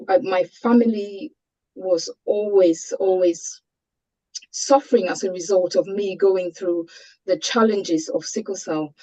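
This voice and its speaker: A woman with a Cameroonian accent giving a personal testimony as a webinar panelist, earnest and measured in her delivery. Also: mid-range voice, mellow. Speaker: panelist sharing a patient's experience. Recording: dull, lo-fi online call audio.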